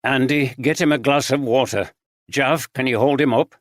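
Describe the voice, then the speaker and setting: A man's voice: medium pitch, steady tone, normal speed, dry recording, clean studio sound, lightly acted. A man playing a shrewd older male detective, probing and then urgent and commanding.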